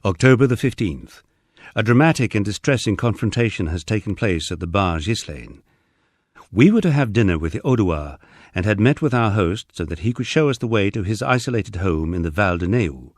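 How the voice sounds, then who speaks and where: A man's voice: fairly low pitch, lively intonation, brisk, dry recording. A man reading a first-person diary-style memoir aloud with dry, understated storytelling. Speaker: audiobook narrator.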